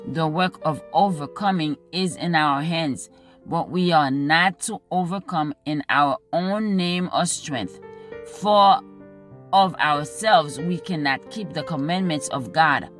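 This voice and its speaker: A woman reading a devotional text and scripture in a measured, deliberate manner, pausing between phrases. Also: lowish voice, a bit bright. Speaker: devotional reader. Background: music under the voice.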